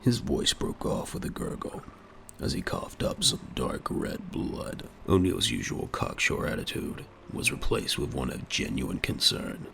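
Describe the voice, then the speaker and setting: A man's voice: extremely low pitch, sing-song, a little slow, vocal fry, fairly bright tone, a little hiss or hum. A man delivering dramatic scripted narration for an audio drama.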